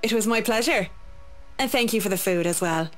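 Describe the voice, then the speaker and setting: A woman's voice: mid-range voice, faint music behind, lightly acted. A woman playing a discreet, frank and courteous female employee in a visual novel game. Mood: polite. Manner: formal, measured, courteous.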